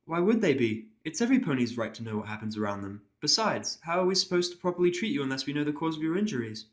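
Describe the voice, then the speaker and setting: Male performer as a female pony nurse, raised pitch, timid, helpful, deferential, explanatory, fan audio drama. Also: sing-song, quick pace. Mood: earnest. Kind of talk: amateur audio drama character voice.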